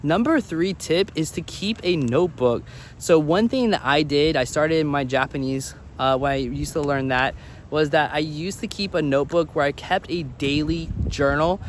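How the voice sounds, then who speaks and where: A man's voice: fairly high pitch, slight background noise. A man giving casual, instructional advice to viewers as a language-learning vlogger, repeating words for emphasis.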